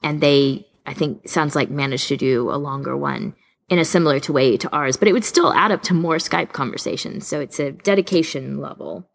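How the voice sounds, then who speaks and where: A woman's voice: low-pitched, fairly quick, gravelly. A woman co-hosting a podcast in casual, good-humoured chat. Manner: good-humoured, given to laughing as she talks. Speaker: podcast co-host.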